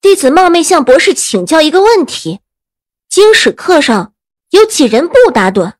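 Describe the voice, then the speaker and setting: An actress playing a cheeky, quick-witted young student in a period audio drama, polite and mock-earnest. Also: female voice, high voice, sing-song, dry recording, studio quality. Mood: mock respectful.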